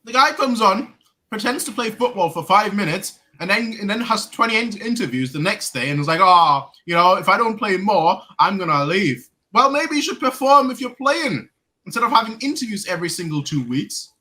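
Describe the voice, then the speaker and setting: A young man co-hosting a casual football fan chat, ranting and mocking players in quick bursts. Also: sits high, very animated, pushed and bright. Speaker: fan channel co-host.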